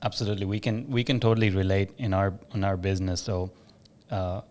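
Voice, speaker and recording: A man's voice: sits low, flat delivery, fast talker, a little mellow. A man hosting a podcast, chatting casually as he interviews a guest and shares his own experience as a business owner.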